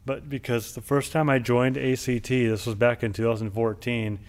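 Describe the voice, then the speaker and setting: A man's voice: mid-range voice, flat delivery, moderate pace. A man hosting an interview show, talking casually and reminiscing in long, rambling sentences full of "like". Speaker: show host.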